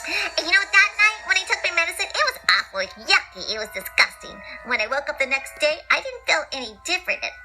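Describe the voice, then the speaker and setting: A woman's voice: very high-pitched, lively intonation, quick pace, sharp tone, rough recording. A woman reading a children's picture book aloud theatrically, with playful asides and repetition. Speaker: storyteller, podcast host. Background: music.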